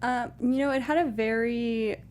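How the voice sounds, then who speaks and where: A woman's voice: on the high side, steady tone, normal speed, darkish tone. A woman co-hosting a film discussion in a casual, thoughtful and agreeable conversational way. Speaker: co-host of a film discussion show.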